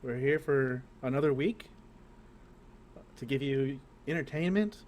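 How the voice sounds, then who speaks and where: A man's voice: mid-range voice, measured pace, darkish tone. A man hosting a podcast, talking in casual group banter with a crude, profane sense of humor. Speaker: podcast host.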